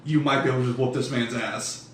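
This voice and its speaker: A man's voice: medium pitch, steady tone, fast. Guy game mastering a horror rpg, sweary casual talk, roomy and a bit far from the mic. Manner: profane, colloquial. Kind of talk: improvised narration and casual table talk. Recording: roomy, distant mic, faint noise.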